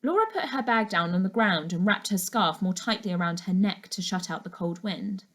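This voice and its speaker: A woman narrating a children's novel aloud as a teacher would to a class, in an expressive storytelling style. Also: medium pitch, moderate pace.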